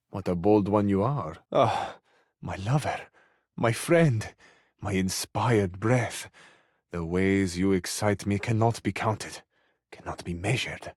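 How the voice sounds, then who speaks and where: A man's voice: fairly low pitch, dry recording, clean studio sound. A man playing a gallant, lovestruck Italian romantic in a video game, speaking with an Italian accent in a flowery, poetic, courtly manner.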